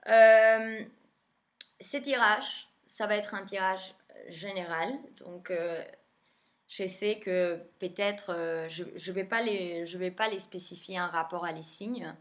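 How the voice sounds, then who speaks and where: A woman's voice: mid-range voice, slow. A young adult woman from Argentina, a tarot reader whose native tongue is Spanish, talking casually in French with an Argentine Spanish accent and many hesitant fillers. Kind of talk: casual spoken video introduction.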